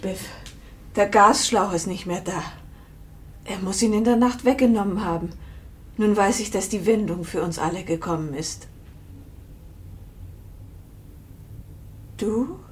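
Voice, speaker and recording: An older woman in a 1950s German radio drama playing an anxious, devoted mother, tender and pleading. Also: fairly high pitch. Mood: happy, hopeful, then relieved. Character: anxious, devoted, hopeful elderly mother and wife.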